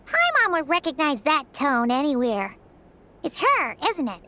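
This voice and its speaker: A woman voicing a tiny, childlike fairy companion in a video game, curious, chatty and expressive, with a squeaky voice. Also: measured pace, exaggerated voice. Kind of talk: video game character acting.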